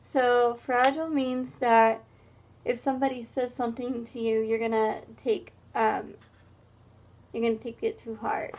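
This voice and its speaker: A woman reading a picture book aloud as a teacher, pausing for halting, simple explanatory asides. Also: a little high, flat delivery, a little mellow, a little hiss or hum.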